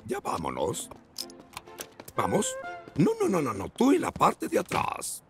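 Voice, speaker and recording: A man's voice: on the high side, huge pitch swings, slow, soft background music, exaggerated voice. An actor voicing a childish, petty, bumbling man in a Latin American Spanish cartoon dub, mumbling and exclaiming in short grunts and oohs. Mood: bossy, busy. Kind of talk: Spanish-dubbed slapstick cartoon acting.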